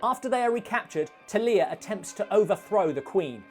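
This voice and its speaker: A woman's voice: medium pitch, animated, fairly quick, a little mellow, soft background music. A woman narrating a scripted film review in a dry, sarcastic manner.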